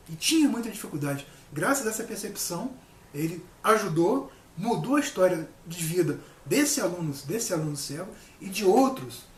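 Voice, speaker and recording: High-pitched, emphatic man in echoey room. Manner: earnest, emphatic, repeats phrases for stress. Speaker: university lecturer and guest speaker. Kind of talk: informal public talk. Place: indoor room with people present. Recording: echoey room, distant mic, faint noise.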